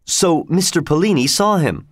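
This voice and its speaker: Guy as a doubting theater manager in an audiobook, pointed questions, lively pitch. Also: normal speed, dry recording, studio quality. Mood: skeptical. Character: skeptical, probing male theater manager.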